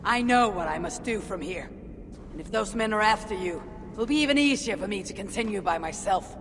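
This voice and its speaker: A woman's voice: mid-range voice, animated, brisk, lightly acted. A woman with a Scandinavian accent playing a stubborn, self-assured Viking raider in a video game, blunt and defiant. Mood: angry defiance. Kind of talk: dramatic video game cutscene acting. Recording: long reverb with clear background noise.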